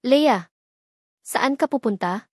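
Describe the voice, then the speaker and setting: A woman's voice: fairly high pitch, lively intonation, takes it slowly, darkish tone, husky, no room sound, clean studio sound, exaggerated voice. A woman with a Filipino accent voicing an earnest, hesitant young rich man in a narrated romance story. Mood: worried.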